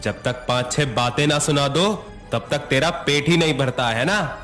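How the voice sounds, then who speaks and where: A man's voice: fairly high pitch, measured pace, a bit bright, faint music behind. A man playing a harsh, fault-finding Indian husband in a dramatised story, sarcastic and threatening. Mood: scornful. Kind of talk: dramatised Hindi moral story acting.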